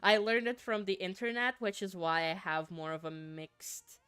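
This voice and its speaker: Finnish woman, native Finnish speaker, casual stream chat, mid pitch, giggly, trips over words, faint music under a dry mic. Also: little pitch movement, normal speed, a bit bright, clean tone, no room sound. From Finland. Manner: giggly, stammers and repeats words. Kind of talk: casual livestream chat with viewers.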